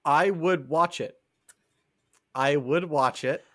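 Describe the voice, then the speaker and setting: A man's voice: fairly high pitch, slow, fairly bright tone, dry recording, studio quality. A man co-hosting a casual podcast, talking in a theatrical, mock-dramatic way. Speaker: podcast co-host. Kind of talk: casual chat.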